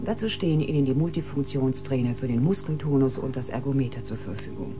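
An actress playing a professional, slightly condescending ship doctor, clinical and instructive in manner. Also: female voice, very deep voice, expressive, fast. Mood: defensive, lecturing. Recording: music playing behind the voice.

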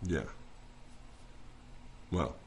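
An actor playing a cynical, weary lawyer in an audio drama, switching between wry asides and formal address. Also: extremely slow. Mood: wry, muttering. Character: weary, cynical celestial defence lawyer, adult man.

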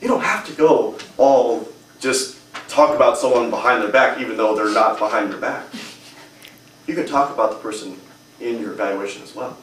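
A man's voice: medium pitch, normal speed, a bit bright, slight background noise. A young adult man giving a humorous prepared speech at a public speaking club, with a strong, emphatic delivery in a hotel meeting room. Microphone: far camera. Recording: echoey room from far off.

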